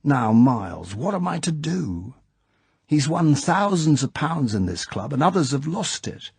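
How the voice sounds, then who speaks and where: A man's voice: fairly high pitch, big pitch swings, measured pace, vocal fry, slightly rough, no room sound, pitched above the performer's own voice, full character voice. A man voicing an indignant older club chairman who bursts out in angry, worried exclamations. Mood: anxious. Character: blustering older male club chairman, easily angered.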